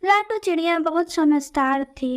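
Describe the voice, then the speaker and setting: A woman's voice: extremely high pitch, measured pace. A woman narrating a scripted children's story as the storyteller.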